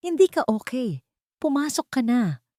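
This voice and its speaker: A woman voicing a concerned, protective young rich man in a story, calm but insistent. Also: high voice, normal speed, dry recording, studio quality, pitched above the performer's own voice. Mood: urgent concern.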